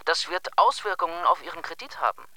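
A man's voice: on the high side, lively intonation, fast, bright, forceful tone, dry recording. An actor playing a cold, insistent male debt collector calling about an overdue account in a radio drama.

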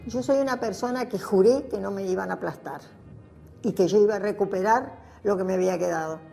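A woman's voice: medium pitch, fairly quick, creaky, mellow, faint music behind. An older woman with an Argentine accent gives a personal testimony, recollecting in a reflective, spontaneous way with emphatic repetition. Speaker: interviewee giving personal testimony.

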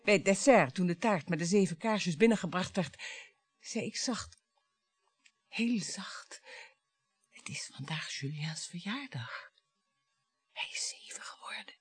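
An actress playing a wounded, bitter wife and mother in a radio drama, theatrical and sarcastic, moving between hushed and emphatic speech. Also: lowish voice, expressive, a little slow, pauses often, husky, no echo.

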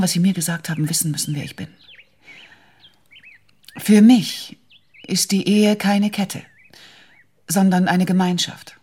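Very husky, composed young widow. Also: female voice, fairly low pitch, a little mellow. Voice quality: very husky, breathy, often near whisper. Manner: measured, deliberate, formal. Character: composed, independent-minded young widow, grave and proud. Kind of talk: literary radio drama acting.

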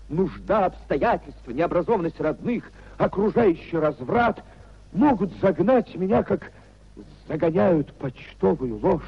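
A man's voice: on the high side, breathy edge, heavily acted. An actor playing a proud, idealistic young man who declaims fervently, in a 1950s Soviet radio play. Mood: proud, wounded. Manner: declamatory, impassioned oratory. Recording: muffled 1950s radio theatre recording.

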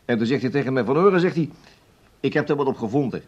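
A man's voice: medium pitch, animated, fast, darkish tone, husky, highs cut off. An actor playing an indignant, gossiping colleague in a Dutch radio play, telling his tale in a blustery, colloquial, emphatic way.

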